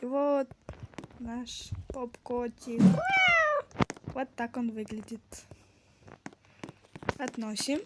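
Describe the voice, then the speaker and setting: Hoarse young-sounding woman playing a game. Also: a little high, steady tone, extremely slow, pauses often, heavy background noise. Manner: chatty, yelps and exclaims when in danger. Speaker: gaming channel host. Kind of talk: casual game commentary.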